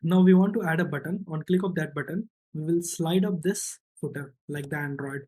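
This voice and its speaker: Guy doing a casual coding tutorial, soft dark mellow voice, walks thru each step. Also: a little high, brisk, slightly rough. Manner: instructional, often ends with "right?" or "okay". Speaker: programming tutorial instructor. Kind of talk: informal step-by-step screencast narration.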